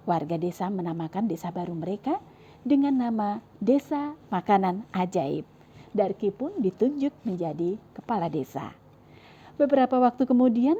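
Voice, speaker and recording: Brief: woman storyteller for kids, lively sing-song reading, mellow slightly husky voice. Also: mid-range voice, muffled recording. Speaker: children's storyteller. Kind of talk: reading a children's story aloud. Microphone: clip-on mic.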